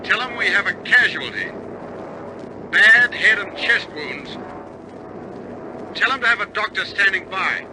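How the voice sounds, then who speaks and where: A man in an old radio drama playing a bomber captain, clipped and commanding, steady as he steers a crippled aircraft. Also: high-pitched, unhurried, pauses often. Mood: commanding, urgent. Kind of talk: 1950s radio drama acting. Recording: old radio recording, loud aircraft engine noise.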